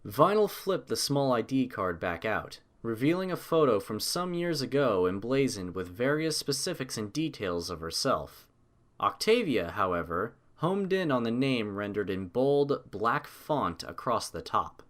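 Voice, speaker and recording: Guy narrator reading a story, medium pitch, really lively intonation. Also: a little slow.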